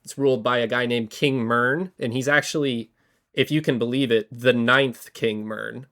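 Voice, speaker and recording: A man co-hosting a podcast, slightly hoarse from a cold, talking casually with lots of fillers and restarts. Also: mid-range voice, steady tone, normal speed, fairly bright tone. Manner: rambling, many fillers and restarts. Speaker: podcast co-host. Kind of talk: casual history chat.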